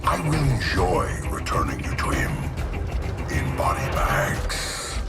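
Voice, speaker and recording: A hulking brute villain speaks very slowly and with cold menace. His low voice swells and dips, set back from the mic in a game cinematic. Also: big pitch swings, heavily acted. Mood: gloating menace. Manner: slow, menacing. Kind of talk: scripted video game drama. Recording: distant voice under loud music.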